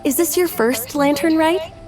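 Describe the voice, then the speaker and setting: A woman's voice: high voice, normal speed, close to an everyday voice. A woman voicing a friendly young festival organizer in a video game, explaining things in a welcoming, informative way. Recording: voice a bit distant. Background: game music.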